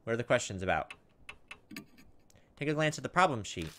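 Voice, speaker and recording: A man's voice: mid-range voice, very animated, brisk, bright, forceful tone, a little hiss or hum. A man giving casual gameplay commentary as a let's play host, thinking aloud in a hesitant, musing way.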